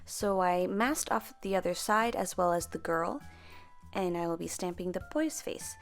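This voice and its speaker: A woman's voice: lowish voice, moderate pace, soft background music. A young woman narrating a voice-over craft tutorial, chatty and friendly, sometimes correcting herself as she explains. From half Filipino, grew up speaking Tagalog. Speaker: planner stamping vlogger.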